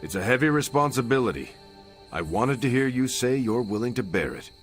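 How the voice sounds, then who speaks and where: A man's voice: mid-range voice, brisk, soft background music, close to an everyday voice. Man playing an earnest, steadfast soldier hero. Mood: earnest, supportive. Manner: sincere, steady. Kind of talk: video game cutscene acting.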